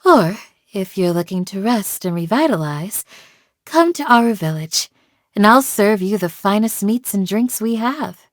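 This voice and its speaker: A woman playing a calm, devoted village guardian in a video game, speaking in a measured, formal, gently solemn way. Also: medium pitch, dry recording, clean studio sound, lightly acted. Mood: kindly. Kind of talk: scripted game character voice lines.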